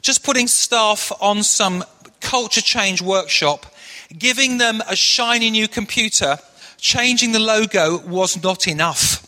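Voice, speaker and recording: A middle-aged man from Manchester preaching a sermon as pastor, telling stories earnestly and dropping into a hushed prayer. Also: high voice, unhurried. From Manchester, England. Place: church hall. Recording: long echoey hall reverb.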